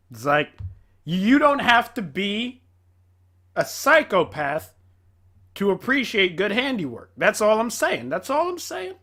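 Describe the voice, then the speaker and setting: A man delivers casual reaction commentary. His voice is high and bright, with very animated intonation, and he often says a phrase twice for emphasis. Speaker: reaction video host.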